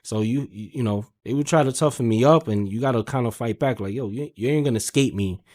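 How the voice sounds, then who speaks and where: A man's voice: medium pitch, a little fast, a little mellow. A man talking casually as a podcast guest, in an emphatic, slangy, free-swearing way full of verbal tags. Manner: slangy, emphatic, swears freely, peppers talk with "man" and "you know". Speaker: podcast guest, car sales manager. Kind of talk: casual conversational storytelling.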